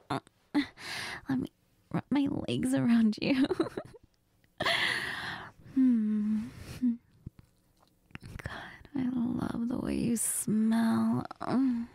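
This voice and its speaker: A woman acting as a clingy, affectionate girlfriend in an intimate role-play audio. She murmurs very slowly and hesitantly in a mellow, breathy voice, close and tender. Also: a little high, no room sound, heavily acted. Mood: giggly, surprised. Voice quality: breathy, whispery. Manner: hesitant, giggly, tender, murmuring with Spanish endearments. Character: needy, cuddly, affectionate girlfriend who missed her partner.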